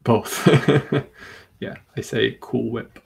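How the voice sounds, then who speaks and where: A man from Rhode Island hosting a live comic book stream, chatting calmly and steadily while reading comments. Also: medium pitch, creaky, breathy edge. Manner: calm, steady, unhurried. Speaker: livestream host.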